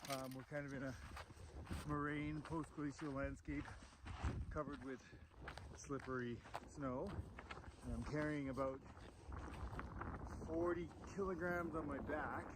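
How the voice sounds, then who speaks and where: A man's voice: on the high side, steady tone, unhurried, pauses often. A Canadian man from Calgary giving chatty, matter-of-fact video diary updates outdoors on a glacier ice sheet. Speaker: expedition member. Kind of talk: casual video diary on the trail. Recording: outdoor camera audio with noise.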